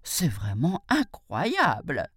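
A woman's voice: sits low, huge pitch swings, slow pace, husky, no room sound, studio quality, exaggerated voice. A woman voicing a sly jackal who feigns muddled stupidity, stammering and hesitant, in a storybook tale. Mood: puzzled.

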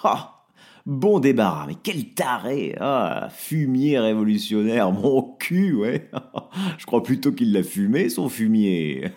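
A man voicing a hapless, blustering husband who stammers and protests loudly. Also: mid-range voice, big pitch swings, slow, pitched above the performer's own voice, heavily acted. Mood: gleeful, scornful.

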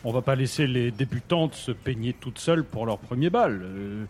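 An actor playing a fussy, peevish, condescending official with a hesitant, stuffy manner in a satirical audio drama. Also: male voice, mid-range voice, animated, moderate pace, a little mellow, slight background noise, close to an everyday voice.

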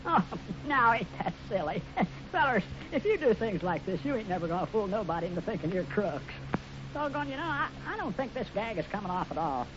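High-voiced gullible Western sidekick. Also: male voice, big pitch swings, fairly quick. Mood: naive, pleased. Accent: American. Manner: folksy drawl, naive, rambling. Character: gullible, good-natured folksy Western sidekick, talkative bumbler. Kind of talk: 1950s radio serial acting. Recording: muffled, noisy old radio recording.

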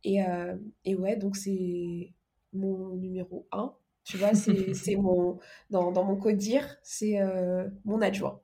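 A woman's voice: medium pitch, flat delivery, slow, mellow. A woman speaking casually as a podcast guest, explaining things informally with examples. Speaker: podcast guest, organisation coach. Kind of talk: casual interview conversation.

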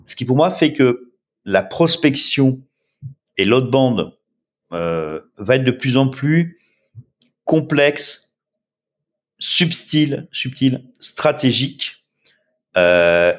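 A man's voice: medium pitch, expressive, unhurried, long pauses. A man in his fifties, a startup founder answering interview questions in a casual, rambling way with many filler sounds. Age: 50s.

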